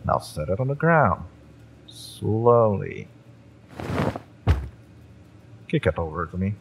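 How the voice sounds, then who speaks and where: A fiery mercenary, acted by a man, in an audio drama, with a low voice that swings expressively. He taunts slowly and deliberately, cocky and menacing, over noticeable ambient noise. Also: big pitch swings, vocal fry, heavily acted. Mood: stern, threatening. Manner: taunting, sardonic, drawn-out deliberate delivery. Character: fiery male mercenary, cocky, exasperated, menacing yet familiar. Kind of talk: scripted romance audio roleplay acting.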